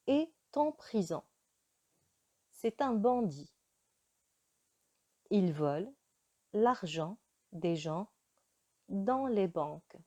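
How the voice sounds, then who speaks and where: Woman teacher, slow and mellow, patient beginner lesson with pauses. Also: mid-range voice. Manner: slow, careful teaching delivery with frequent hesitations. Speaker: French teacher.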